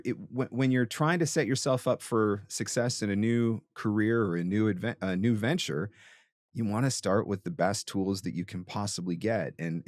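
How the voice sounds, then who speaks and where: A man's voice: on the low side, no room sound, studio quality. A man hosting an interview as a voiceover coach, giving conversational advice in an emphatic, explanatory and encouraging way.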